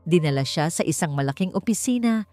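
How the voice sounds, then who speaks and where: A woman's voice: fairly low pitch, animated, brisk, mellow. A woman with a Filipino accent reads a scripted romance story as a dramatic narrator. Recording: music under the voice. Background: background music.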